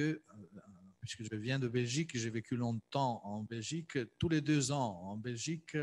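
Hesitant man moderating, medium pitch. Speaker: event host, moderator. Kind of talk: unscripted moderating at a cultural talk. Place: salon with piano, indoors.

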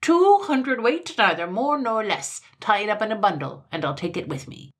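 A woman's voice: mid-range voice, animated, moderate pace, voice pushed up, lightly acted. A woman voicing a tiny, hot-tempered male mannikin from a storybook, snapping out haughty commands. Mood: irritated, shrill. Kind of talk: storybook character voice.

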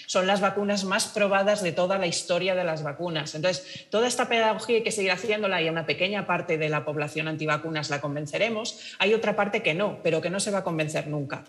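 A woman researcher joins the discussion from her office over a video call. She explains her view in a steady, even way. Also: fairly low pitch, fast talker, few pauses. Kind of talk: remote panel contribution. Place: office with shelves.

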